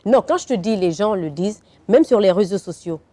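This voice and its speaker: A woman's voice: medium pitch, lively intonation, a little fast, dark tone. A woman hosting a talk show, asking curious, probing questions. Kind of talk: interview.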